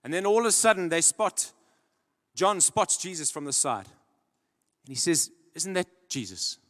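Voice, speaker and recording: A young man preaches from a big church stage, holding a handheld mic. His voice sits a little high, and he moves from loud emphatic peaks down to low, quiet lines. A long reverb from the hall trails after his words. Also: sing-song, unhurried. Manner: dramatic, builds to loud emphatic peaks then drops low. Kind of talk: sermon.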